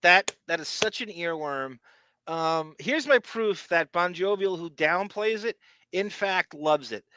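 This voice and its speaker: Male podcast co-host, bright and fairly high, loose chatty banter. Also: dry recording, low-quality recording. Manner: chatty, digressive, quick to laugh and swear. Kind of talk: casual banter.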